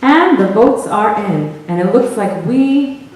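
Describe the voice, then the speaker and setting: A woman's voice: a little high, animated, takes it slowly, a little mellow. Woman narrator in a very echoey theater. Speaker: narrator and audience host. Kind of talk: scripted stage narration. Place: theater hall, recorded from the audience. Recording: roomy, distant stage recording with faint noise.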